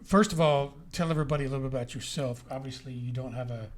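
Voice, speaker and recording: A man's voice: medium pitch, fast talker, a little hiss or hum. A man, casually interviewing a guest as a podcast host.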